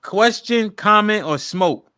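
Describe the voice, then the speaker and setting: A man hosting a live stream call-in show, greeting callers with quick, friendly asides. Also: high-pitched, lively intonation, sharp tone, clean tone, no room sound, studio quality. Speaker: host.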